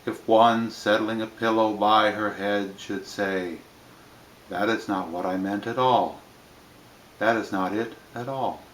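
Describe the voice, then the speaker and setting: A man reading poetry plainly in a measured, phrase-by-phrase delivery, with line endings that trail off. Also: lowish voice, steady tone, reverberant, slight background noise, poor audio. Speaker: reader of poetry.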